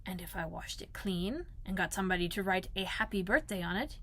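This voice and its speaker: A woman voicing a gentle, slow-witted male teddy bear in a storybook, earnest and pondering. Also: lowish voice, expressive.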